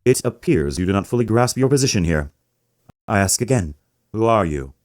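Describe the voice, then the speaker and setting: Man playing a pompous villain boss with sweeping pitch. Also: lively intonation, normal speed, darkish tone, natural voice. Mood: sneering, condescending. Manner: pompous, sneering, theatrical. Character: pompous, menacing male corporate boss villain. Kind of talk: dramatic video game character acting.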